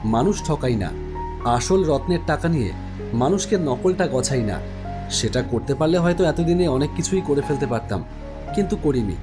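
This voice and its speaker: A man's voice: a little high, animated, moderate pace. A man giving dramatic first-person narration of a horror story. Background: music.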